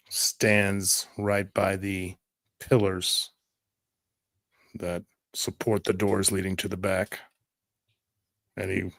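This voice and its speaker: A man giving measured, descriptive narration as game master of a tabletop roleplaying game. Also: on the low side, vocal fry, a bit bright, no room sound.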